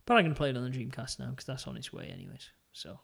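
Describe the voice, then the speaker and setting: A man's voice: mid-range voice, huge pitch swings, creaky, a bit bright, husky. A young man with a Welsh accent, co-hosting a casual gaming podcast in a chatty, rambling way. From Wales. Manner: chatty, rambling, quick laughs. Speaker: podcast co-host.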